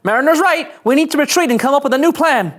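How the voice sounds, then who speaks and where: A woman playing a jittery, eager young starship ensign, anxious and excitable, in a sci-fi table read. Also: high-pitched, quick pace, natural voice.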